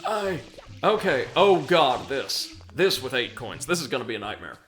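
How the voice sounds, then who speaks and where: A man's voice: medium pitch, very animated, moderate pace, fairly bright tone. Rambling American man streaming a game. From United States. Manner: rambling, self-deprecating, punning; reads out chat messages. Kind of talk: casual live commentary while gaming. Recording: game music underneath. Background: video game music.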